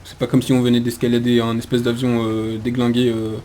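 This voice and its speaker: A man's voice: mid-range voice, monotone, fairly quick, dark tone, a little hiss or hum. A man hosting a gaming video with casual let's play commentary, chatty and hesitant as he thinks aloud.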